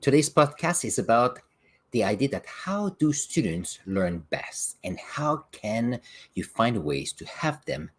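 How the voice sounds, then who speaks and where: Middle-aged male podcast host, first language French, low husky voice, reflective and example-driven. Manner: reflective, explains with examples, occasional restarts. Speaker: podcast host and science teacher. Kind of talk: solo podcast talk.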